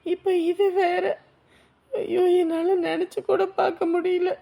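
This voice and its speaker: A woman's voice: very high voice, little pitch movement, measured pace, vocal fry, dark tone, exaggerated voice. An actress playing a young woman who is ashamed and frightened, speaking tearfully and pleadingly. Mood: distraught.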